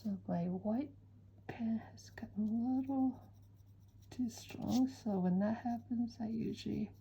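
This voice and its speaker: A woman with a Japanese accent talks slowly and softly as she draws, pausing often to gather her thoughts. Her voice is dark and mellow with a husky edge. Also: a little high. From western Japan. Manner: soft-spoken, unhurried, with frequent "uh" pauses. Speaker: art instructor. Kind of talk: relaxed chat while drawing.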